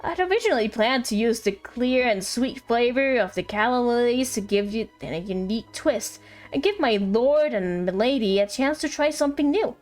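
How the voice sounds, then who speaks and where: Cheerful servant character from a game, read by a woman streamer, high voice, friendly storytelling, music underneath. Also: lively intonation, moderate pace, a little mellow, close to an everyday voice. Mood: cheerful, eager. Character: cheerful, friendly servant excited about a food discovery. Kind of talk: reading a game letter aloud in character.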